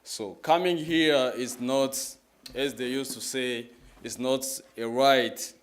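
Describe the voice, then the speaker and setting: A man in his twenties with a Congolese accent giving a personal testimony as a public talk, halting and repeating his phrases in plain words. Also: mid-range voice, fairly even intonation. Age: 20s. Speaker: guest speaker at a church, sharing testimony.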